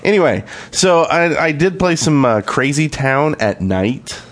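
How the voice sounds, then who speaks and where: A man's voice: fairly high pitch, big pitch swings, a little slow. A man co-hosting a podcast in casual, informal conversation. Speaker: podcast co-host. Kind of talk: casual chat. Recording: music playing behind the voice. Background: music.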